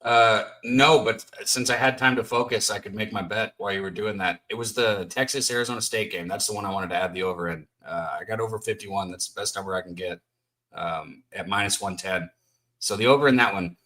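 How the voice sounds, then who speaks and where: A man with a low but bright voice co-hosts a betting show. He runs quickly through his bets and the odds. He keeps apologizing for cutting in. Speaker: co-host. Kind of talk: casual betting talk.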